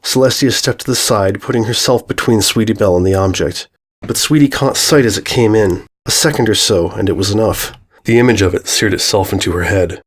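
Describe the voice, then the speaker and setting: A man's voice: fairly low pitch, a little fast, slightly rough. A man giving a scripted narration of a horror fan fiction story.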